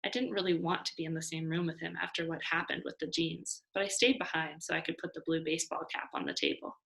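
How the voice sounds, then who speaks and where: A woman reading a children's novel aloud as an expressive storyteller. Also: low-pitched, quick pace, creaky, rough recording. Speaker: storybook reader.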